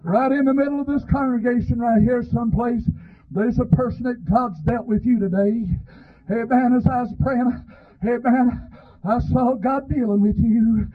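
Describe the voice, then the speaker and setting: A man preaching a fervent revival sermon in a raspy, strained voice, pleading and often shouting, punctuating his phrases with amens. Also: very high-pitched, fairly even intonation, moderate pace. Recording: dull, lo-fi sermon recording.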